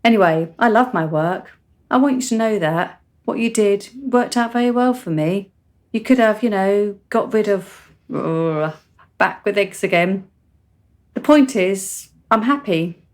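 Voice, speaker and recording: A woman's voice: mid-range voice, a little slow, a little mellow, natural voice. An actress playing a frank, cheerful, precociously mature seventeen-year-old country girl in a radio play, articulate and chatty. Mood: earnest. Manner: articulate, matter-of-fact, chatty.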